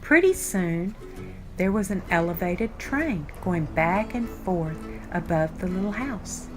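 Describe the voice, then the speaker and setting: Slow-paced older woman reading a storybook. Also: lowish voice, lively intonation. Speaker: storyteller reading a children's picture book. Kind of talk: read-aloud storytime narration. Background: background music.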